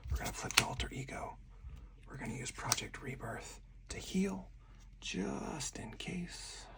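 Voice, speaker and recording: A person whispering softly and breathily in ASMR style, narrating a solo card game move by move as the host. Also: mid-range voice, animated, a little slow, vocal fry. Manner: hushed, narrating each move aloud step by step.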